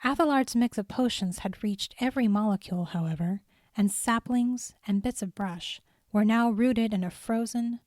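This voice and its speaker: A woman's voice: mid-range voice, darkish tone, no echo, studio quality. A woman reading scripted fiction as a storyteller, in audiobook narration.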